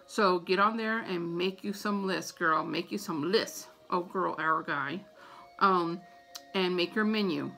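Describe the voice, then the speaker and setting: A woman's voice: on the low side, unhurried, creaky, sharp tone, soft background music. A middle-aged American woman giving casual, chatty advice to camera in an informal way, repeating her points for emphasis. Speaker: vlogger giving household tips. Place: small room at home, indoors. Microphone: phone or tablet camera at about arm's length.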